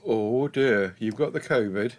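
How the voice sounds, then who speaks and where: Brief: middle-aged male host, mid pitch, relaxed jokey chat. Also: a little slow. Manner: chatty, jokey, with filler ums. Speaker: podcast co-host. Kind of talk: casual chat.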